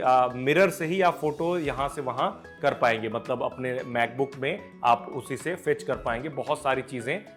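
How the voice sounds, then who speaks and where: A man with an Indian accent presenting tech news as an informal, unscripted explainer, mixing English words into his speech. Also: on the high side. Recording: music playing behind the voice. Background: background music.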